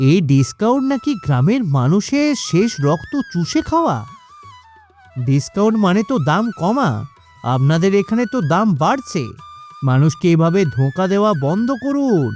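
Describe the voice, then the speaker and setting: A man plays a defiant village man in a cartoon. His high voice moves up and down a lot, earnest and accusing, with faint music underneath. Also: big pitch swings, normal speed, darkish tone. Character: defiant, outspoken village man standing up to exploiters. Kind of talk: cartoon dialogue.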